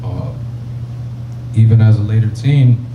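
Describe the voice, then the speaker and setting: Young guy with a deep voice, slow and halting, muffled echoey room with background noise. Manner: slow, halting, soft-spoken. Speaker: panelist. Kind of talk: panel testimony.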